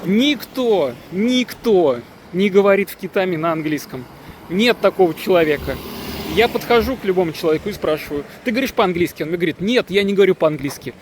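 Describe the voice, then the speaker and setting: A man's voice: high-pitched, lively intonation, a little fast, a little hiss or hum. A man casually narrating his travel vlog outdoors, joking, boasting and using slang. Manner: jokey, boastful, slangy storytelling. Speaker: travel vlogger.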